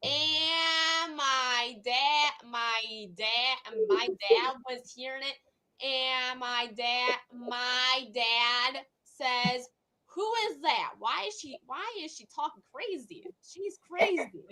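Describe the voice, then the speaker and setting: A woman chatting casually in a halting way, often repeating her words and phrases. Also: high-pitched, sharp tone, rough recording. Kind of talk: casual chat.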